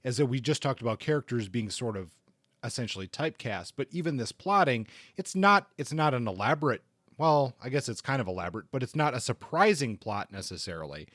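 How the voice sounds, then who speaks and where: A man's voice: mid-range voice, lively intonation, a little fast, fairly bright tone, no room sound. Man talking books in a dry-sounding space. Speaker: podcast co-host reviewing crime novels. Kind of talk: casual book discussion.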